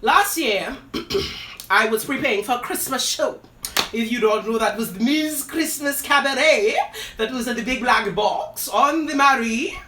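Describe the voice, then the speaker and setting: A woman's voice: on the high side, expressive, measured pace, bright, forceful tone. A woman, hosting a live show and telling a personal story with dramatic, sassy flair. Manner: dramatic, sassy asides, addresses listeners as "girl" and "darling". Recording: roomy echo, voice from a distance.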